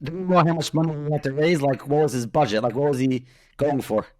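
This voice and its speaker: A man's voice: on the high side, quick pace, no echo. A male host who interviews a guest and piles several questions into one long turn. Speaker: podcast host.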